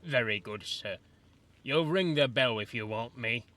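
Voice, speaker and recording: A man's voice: mid-range voice, expressive, a little slow, bright, forceful tone. A man voicing an old male college manservant who is fussy and gossipy, with rustic grammar and constant deference. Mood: worried. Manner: deferential, rustic grammar, says sir a lot.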